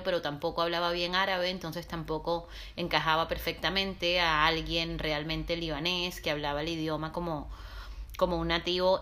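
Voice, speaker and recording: Earnest, lower-voiced Colombian coastal woman. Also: a bit bright. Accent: Colombian Caribbean coast. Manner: reflective and earnest, with frequent hesitations. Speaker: podcast co-host and guest telling her story. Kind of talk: casual podcast chat.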